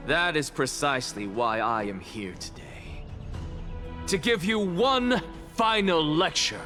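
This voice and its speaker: An actor playing an arrogant scholar in a video game, giving grandiose, sardonic speeches to an assembly. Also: male voice, on the high side, animated, slow pace, sharp tone, soft background music, heavily acted. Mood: grand, declamatory. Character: arrogant, theatrical male scholar mocking an assembly. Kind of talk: dramatic video game voice acting.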